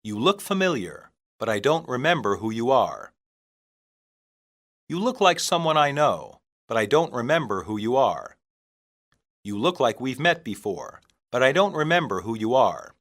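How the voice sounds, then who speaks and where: A man reading scripted English practice phrases for learners, deliberately and in separated phrases. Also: mid-range voice, big pitch swings, fairly bright tone. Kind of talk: scripted language-lesson reading.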